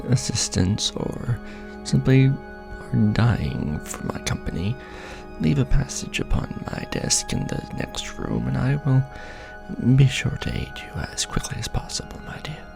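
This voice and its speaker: Vampire lord, played by a man: low, very gravelly, breathy, courtly and possessive, measured ASMR delivery with soft menace. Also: heavily acted. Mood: courteous, hospitable. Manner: formal, grandiloquent, softly menacing, measured. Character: courtly, possessive male vampire lord, ancient and world-weary. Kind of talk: ASMR roleplay, intimate address to listener. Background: music.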